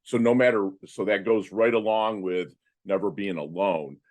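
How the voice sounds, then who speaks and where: A man of fifty speaks as a guest on a podcast, his voice sitting a little low. He tells his story in a loose, animated way, full of fillers and restarted phrases. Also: moderate pace. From Philippines. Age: 50s. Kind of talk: casual interview talk.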